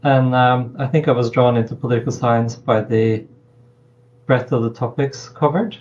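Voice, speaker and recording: Measured Norwegian-born professor, muffled call audio. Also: male voice, mid-range voice, fairly even intonation, a little mellow. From Norway. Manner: measured, hesitant with frequent ums. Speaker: political science professor, guest. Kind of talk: thoughtful interview answers.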